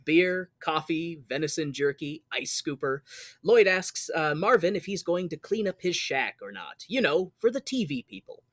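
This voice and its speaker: Animated American man, dry close sound. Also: a little high, moderate pace, no echo. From Mountain West United States. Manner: jokey, playful storytelling. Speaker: podcast host. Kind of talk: recapping a musical, casual joking chat.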